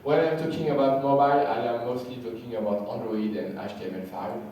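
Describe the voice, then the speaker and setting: A man from France with a French accent, slightly sick and losing his voice, giving a conference talk with halting, repeated words. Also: mid-range voice, fairly even intonation, mellow. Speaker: conference speaker. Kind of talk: conference presentation. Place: large hall. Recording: echoey hall from far off, faint hiss.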